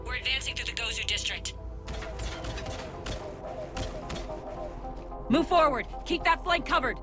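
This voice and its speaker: A woman's voice: a little high, expressive, a little fast, music in the background, close to an everyday voice. Woman acting a resolute, principled militia leader. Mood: urgent. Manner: earnest, firm, military. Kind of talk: video game cutscene acting.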